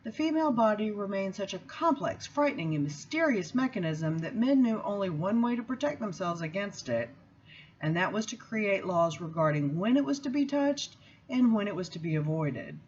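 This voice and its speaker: A woman reads from a book aloud in an expressive style, with measured, deliberate phrasing and emphatic pauses. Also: medium pitch, husky, highs cut off. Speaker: seminary student reading aloud. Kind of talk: expressive book reading with spoken introduction.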